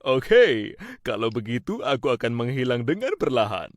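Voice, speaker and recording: A woman's voice: very deep voice, sing-song, moderate pace, a little hiss or hum, pitched below the performer's own voice. A woman voicing a sly, teasing, mischievous male cat from a children's storybook. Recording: background music.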